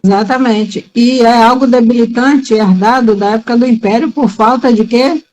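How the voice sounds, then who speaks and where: A woman's voice: on the high side, steady tone, moderate pace, darkish tone, rough recording. A middle-aged woman from Bahia speaks as a guest on a talk show. She talks in an emphatic, heartfelt way and stresses her key words. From Bahia, Brazil. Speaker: teacher, writer, guest. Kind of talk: casual talk-show chat.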